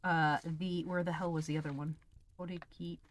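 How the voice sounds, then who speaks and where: A woman's voice: fairly low pitch, little pitch movement, moderate pace. A woman hosting a livestream, chatting casually as she tastes snacks, in a candid, exclamatory way with plenty of swearing. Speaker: livestream host. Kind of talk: casual chat while taste-testing snacks.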